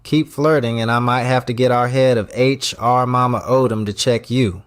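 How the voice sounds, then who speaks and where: A man acts a hardworking chef in an audio romance. His medium-pitched voice is brisk, guarded and teasing, with a close, dry sound. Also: steady tone, clean tone, dry recording, natural voice. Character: guarded, hardworking male chef and restaurant owner.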